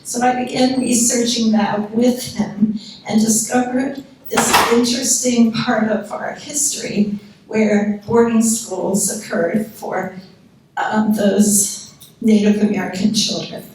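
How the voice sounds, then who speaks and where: A soft-spoken woman with a Pennsylvania accent gives an earnest history talk at a podium. Her somewhat high voice rings around a big echoey hall and sounds a little off-mic. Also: fairly even intonation, takes it slowly, a little hiss or hum. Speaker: guest lecturer. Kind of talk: public history lecture. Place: lecture hall. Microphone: handheld or podium microphone. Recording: echoey hall, picked up from a distance.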